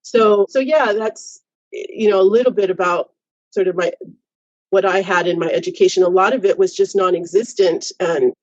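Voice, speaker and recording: A reflective woman in her fifties, a professor, who works through her thoughts aloud with restarts and many "you know"s. Her voice sits at a middle pitch and sounds close and dry, with a slightly dull, lo-fi tone. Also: steady tone, moderate pace, darkish tone, dry recording. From United States. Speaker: college professor, podcast guest. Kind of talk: reflective personal storytelling.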